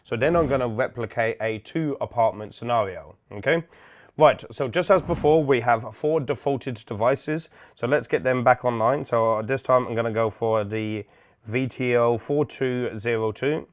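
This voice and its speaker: A man's voice: medium pitch, normal speed. Male tutorial presenter, methodical and informal, explains each step plainly. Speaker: instructor, product demonstrator. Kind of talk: software setup tutorial, step-by-step walkthrough.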